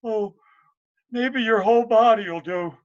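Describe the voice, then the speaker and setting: A man voicing a simple, good-hearted young village man in a storytelling performance, halting, stammering and naive. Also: high-pitched, dull audio, pitched above the performer's own voice. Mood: grief-stricken.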